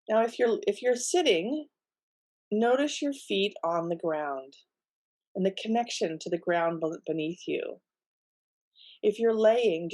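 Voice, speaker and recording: A woman leading a guided relaxation in a gentle, unhurried, soothing manner with pauses between instructions. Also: on the low side. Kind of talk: guided meditation, softly instructive.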